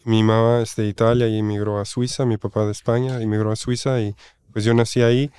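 A man from Switzerland, a podcast guest, answering calmly and explaining at length. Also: lowish voice, fairly quick, clean tone. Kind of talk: interview answers.

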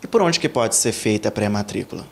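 A man's voice: on the low side, lively intonation, fast talker. A young male TV reporter asking formal, prepared questions in an interview, indoors on a handheld mic.

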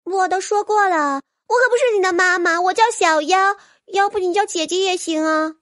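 A woman voicing a tiny childlike fairy creature in a fantasy audiobook, with a squeaky, whiny delivery. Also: moderate pace, fairly bright tone, no echo, studio quality. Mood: pouting, annoyed. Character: tiny childish fairy and pleading little magical bug creature. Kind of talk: audiobook character acting.

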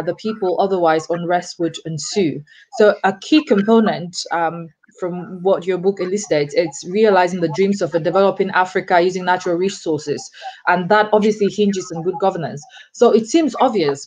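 A young woman hosting an interview, asking long, formally phrased questions in a measured way over a video call. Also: fairly low pitch, a little mellow. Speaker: interviewer, host. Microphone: video call webcam.

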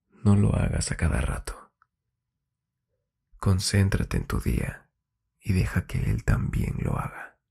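A man with a very creaky, low voice speaks in a soft, intimate ASMR style. His delivery is slow and husky, drifting into whispers close to the microphone. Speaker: ASMR host. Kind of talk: intimate Spanish ASMR relationship advice. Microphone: close-up binaural microphone.

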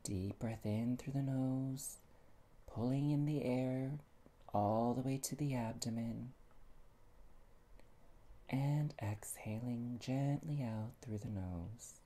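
A meditation guide speaking gently and soothingly, with long pauses and soft, breathy phrase endings. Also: mid-range voice.

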